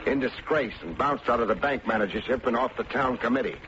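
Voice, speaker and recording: Actor as a wry radio detective, muffled old recording. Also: male voice, medium pitch, brisk, background noise, close to an everyday voice. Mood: defiant, sardonic. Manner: deadpan, sardonic. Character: wry, unflappable private detective with a smart mouth. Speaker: lead actor and narrator of a detective serial. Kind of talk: old-time radio detective drama acting.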